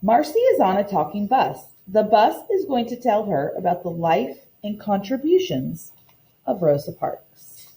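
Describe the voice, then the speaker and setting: A woman teacher giving an expressive storybook read-aloud lesson in an instructive, deliberate manner. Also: medium pitch, poor audio.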